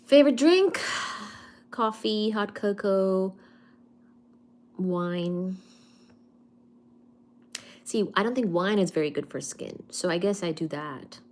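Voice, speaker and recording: A young woman with an Indian accent chats on a live stream, reading out viewer comments and answering them one by one. She speaks at a slow, unhurried pace, pausing often with little fillers. Her voice sits at a middle pitch for a woman. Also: expressive, darkish tone. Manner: unhurried, hesitant, reads comments aloud with frequent fillers. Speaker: livestream host. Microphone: phone or webcam on a live stream.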